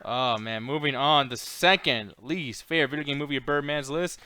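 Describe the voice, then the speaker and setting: A man's voice: mid-range voice, brisk, pushed and bright. A man hosting a movie countdown in casual, slangy, rambling and profane commentary. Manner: rambling, slangy, swears freely. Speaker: host of a movie countdown show.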